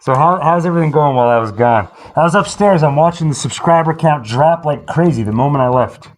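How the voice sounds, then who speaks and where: A man's voice: on the high side, animated, a little fast, poor audio. A man hosting a live stream with casual, playful banter, slipping into announcer-like score calls. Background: music.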